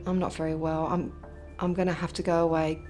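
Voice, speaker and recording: A woman playing a soft-spoken fragile mother. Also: low-pitched, flat delivery, a little fast, soft background music, natural voice. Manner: soft, gentle, hesitant. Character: loving mother, fragile, unwell, proud of son. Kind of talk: radio drama acting.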